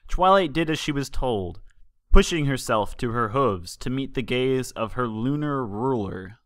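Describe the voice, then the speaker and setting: A man's voice: mid-range voice, lively intonation, a little slow, a bit bright, dry recording, studio quality. A man reading a story aloud as scripted narration with dramatic delivery. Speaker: fan fiction reader.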